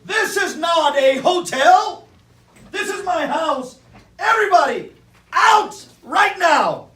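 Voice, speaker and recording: A woman's voice: extremely high pitch, big pitch swings, slow pace, a bit bright, pitched above the performer's own voice, exaggerated voice. A woman voicing a big, grumpy, hot-tempered bear in a storybook read aloud to a live audience. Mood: furious. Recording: echoey room, distant mic, audience chatter.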